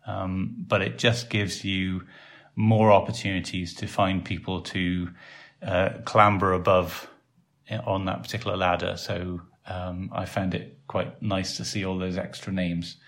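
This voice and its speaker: A Welsh man with a very low voice hosts a casual podcast. He rambles along easily, full of ums and little restarts. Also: a little slow. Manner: relaxed and rambling, with many fillers. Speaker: podcast host and site developer.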